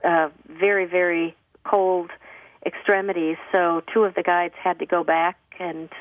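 A woman with a husky, slightly low voice speaks in earnest, plain-spoken answers, often restarting her sentences. She comes through a tinny phone line and sounds distant from the mic, with a dry sound. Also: level tone, unhurried, dry recording. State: husky from a cough. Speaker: guest on a call-in radio show. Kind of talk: relaxed conversational interview answers.

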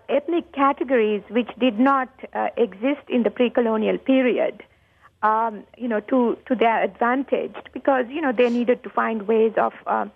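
A woman's voice: on the high side, gravelly, slightly rough. A woman from Sri Lanka, a professor speaking as a guest on a radio call-in interview, thoughtful and hesitant with many fillers. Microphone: telephone. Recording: tinny phone line.

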